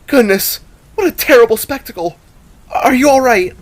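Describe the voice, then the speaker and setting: A man's voice: extremely high pitch, animated, moderate pace, pitched above the performer's own voice. A man voicing a worried, caring young girl in an audio story. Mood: alarmed, concerned. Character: worried young girls fussing over a friend. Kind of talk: scripted character voice in audio story.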